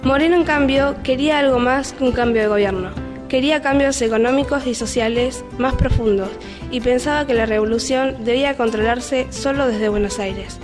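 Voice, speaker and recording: A woman's voice: high-pitched, moderate pace, over music. A young woman giving scripted historical narration in a student radio play. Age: teenager or young adult.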